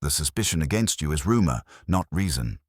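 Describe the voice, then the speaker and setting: A man narrating plays a stern detective genius with an extremely low, husky voice. He speaks pompously and formally, laying out accusations with lively swings of pitch in an audiobook mystery. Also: fairly quick, no echo, clean studio sound, heavily acted. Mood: accusing, commanding. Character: stern, imperious male detective genius passing judgment.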